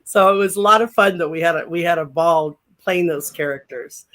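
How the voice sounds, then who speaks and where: A woman in her sixties with a deep voice, chatting as a guest in an interview, rambling with many fillers and restarts. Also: a little fast, fairly bright tone. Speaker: guest, former pro wrestler. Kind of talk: casual interview chat.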